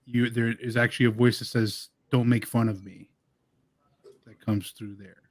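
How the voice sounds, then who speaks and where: Man, mid pitch, eager and talkative, dry close-mic sound. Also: little pitch movement, normal speed, dry recording. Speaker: paranormal team member. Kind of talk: casual live-stream chat.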